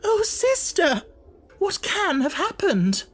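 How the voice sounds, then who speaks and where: Man doing a high, timid, flustered woman. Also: very animated, slow pace, a bit bright, full character voice. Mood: tearful. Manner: nervous, stammering, fretful. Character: timid, flustered middle-aged woman, anxious younger sister.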